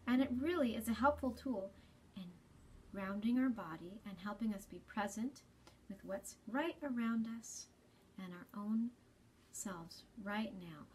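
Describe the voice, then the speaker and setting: A woman in her thirties hosting a children's storytime, explaining gently and deliberately in a slightly breathy voice. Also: mid-range voice, slight background noise. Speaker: children's storytime host at a library. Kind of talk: children's storytime reading and talk. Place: small library space, indoors.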